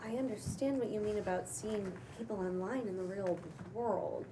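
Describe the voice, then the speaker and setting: A woman's voice: mid-range voice, moderate pace, recorded from a distance, noisy background, natural voice. A woman at a table read playing a skeptical newcomer who is weirded out by the household. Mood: awkward. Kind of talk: table read acting of a pilot script.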